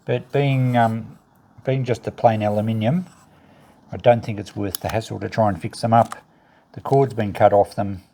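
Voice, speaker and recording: A man's voice: fairly low pitch, steady tone, moderate pace, creaky, mellow. A man hosting a casual unboxing video, thinking aloud in a matter-of-fact way as he sorts through items. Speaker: video host, e-waste recycler and reseller. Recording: noticeable background noise with clicks.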